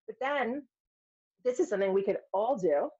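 A woman's voice: fairly high pitch, lively intonation, moderate pace, dark tone, slightly rough. A middle-aged woman telling personal stories candidly in a podcast conversation, acting out quotes of herself and others as she goes. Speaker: podcast guest, filmmaker and speaker. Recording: dull, lo-fi sound.

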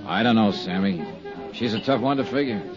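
An American actor playing a world-weary insurance investigator who narrates a 1950s radio drama in a dry, terse, hard-boiled way. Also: male voice, on the low side, a little fast, lightly acted. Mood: weary, wry. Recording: muffled vintage 1950s radio recording, music behind.

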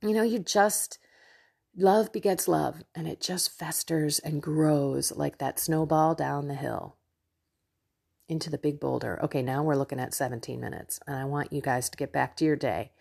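Low-pitched, slightly nasal woman podcaster. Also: fairly even intonation, normal speed, vocal fry, darkish tone, slightly rough. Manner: effusive, chatty, talks directly to listeners. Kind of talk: casual devotional monologue to listeners.

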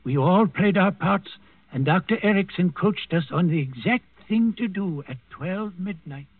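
An actor playing an old Danish servant and secret resister, telling his story deliberately in a 1940s radio drama. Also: sits high, animated, breathy edge, no room sound, full character voice. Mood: grimly confiding. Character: old Danish servant, secret underground plotter, quietly defiant. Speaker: first-person storyteller in a radio play. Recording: muffled 1940s radio recording with music.

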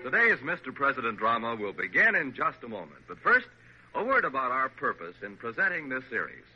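A man's voice: mid-range voice, huge pitch swings, a little fast, music in the background. A man with an American accent making grand, emphatic, formal scripted announcements in 1940s radio style. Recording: muffled, noisy old radio recording.

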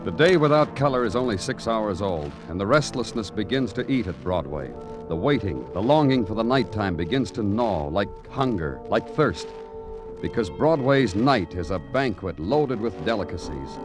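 A man's voice: on the low side, sing-song, moderate pace. An actor playing a world-weary, poetic big-city police detective who narrates his case in florid, brooding radio noir style. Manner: brooding, florid, dramatic phrasing. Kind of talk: 1940s-50s radio noir acting and narration. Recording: vintage radio recording with music.

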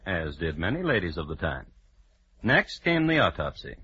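A man's voice: low-pitched, very animated, normal speed, pushed and bright, heavily acted. A man narrating an old-time radio crime drama with formal diction and dry irony. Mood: dry, ironic. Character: formal, drily ironic narrator of a true-crime story. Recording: muffled, noisy old radio recording.

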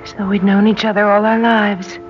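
An actress playing a gentle, dreamy woman in her twenties with an uncanny knowing air, in an old radio drama. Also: mid-range voice, level tone, normal speed, lightly acted. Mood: wondering. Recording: dull old radio recording, music behind.

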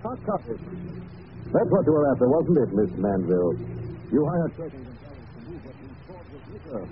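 Actor as a deliberate, mocking hero of the shadows; lively inflection, tinny old radio sound. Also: male voice, mid-range voice, lightly acted. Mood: mocking menace. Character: mocking shadowy male crime-fighter with a sinister laugh. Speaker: hero detective. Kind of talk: 1940s radio acting. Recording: thin, noisy old radio broadcast.

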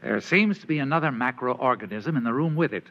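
An actor playing a frank, loyal alien second-in-command, earnest and crisp in 1950s radio drama style. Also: male voice, mid-range voice, expressive, fairly quick, fairly bright tone. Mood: focused. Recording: muffled, noisy old radio recording.

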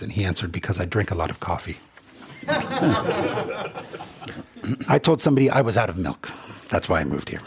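A middle-aged man from Congo speaks in an earnest, reflective way. His voice is deep and extremely husky. He tells stories and acts out both sides of the exchanges. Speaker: rideshare driver. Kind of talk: personal monologue.

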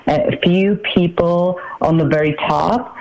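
A woman in her forties from Slovakia, a political candidate giving an interview in a measured, halting way with many fillers. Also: lowish voice, fairly even intonation, vocal fry, a little mellow, poor audio. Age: 40s. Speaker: congressional candidate.

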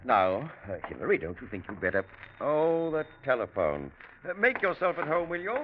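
A man's voice: medium pitch, sing-song, moderate pace, close to an everyday voice. An actor playing a middle-aged, flustered British father with a hesitant manner in an old radio drama. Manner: hesitant, fussy. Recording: muffled, clearly noisy old radio recording.

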